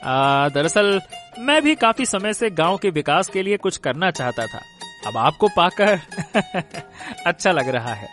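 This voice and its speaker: Man acting a bashful young villager, swooping pitch. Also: very animated, takes it slowly, a bit bright, soft background music, heavily acted. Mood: bashful, polite. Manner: hesitant, flustered, polite. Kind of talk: Hindi cartoon story acting.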